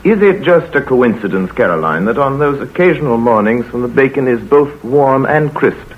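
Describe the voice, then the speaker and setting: A man's voice: medium pitch, expressive, normal speed, close to an everyday voice. An actor playing a wry, self-assured male village doctor in a 1930s radio play. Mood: dry. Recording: lo-fi old radio broadcast.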